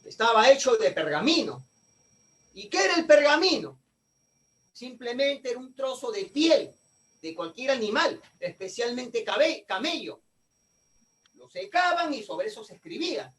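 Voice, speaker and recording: Male teacher, very high voice, slightly off-mic, lecturing and quizzing his class. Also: very animated, measured pace, fairly bright tone, distant mic. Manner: asks the class questions and answers them himself.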